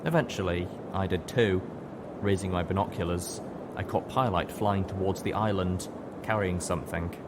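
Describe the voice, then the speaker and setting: A man narrates a first-person story in a low-pitched voice. The recording carries clear background noise under his scripted reading. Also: moderate pace. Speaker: audiobook reader.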